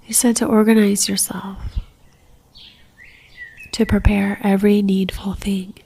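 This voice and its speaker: Woman, meditation guide, mellow near-whisper, faint fry, gentle, calm, level intonation, unhurried. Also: medium pitch, a little hiss or hum. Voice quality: soft, breathy, near-whispered.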